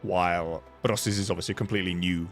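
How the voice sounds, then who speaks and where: A man's voice: sits low, fairly even intonation, fast talker, soft background music. A man chatting casually on a game livestream, rambling and repeating himself as he talks. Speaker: game streamer.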